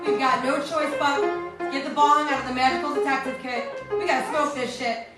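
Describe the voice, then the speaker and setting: A woman's voice: high voice, fairly even intonation, brisk, close to an everyday voice. A woman playing a plucky, cocky detective heroine in a theatrical old-time radio serial parody. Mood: determined. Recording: echoey, distant, music underneath.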